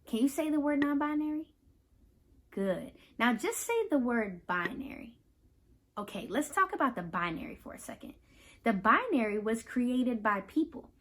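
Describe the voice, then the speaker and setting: A woman reading a picture book aloud to children, pausing to explain and put questions to the listeners in an instructive, animated way. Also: a little high. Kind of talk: children's read-aloud with teaching asides.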